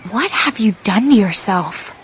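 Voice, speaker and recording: A woman's voice: mid-range voice, animated, measured pace, a little hiss or hum, close to an everyday voice. An actress playing a determined young female mutant heroine, speaking in a defiant, urgent way in a video game cutscene. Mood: accusing.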